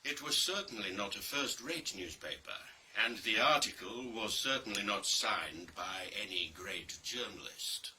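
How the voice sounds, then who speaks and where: A man reads a dark story as dramatic scripted narration, theatrical and deliberate, with pauses and heavy emphasis. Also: fairly low pitch. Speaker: reader of a play. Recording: distant mic with faint background noise.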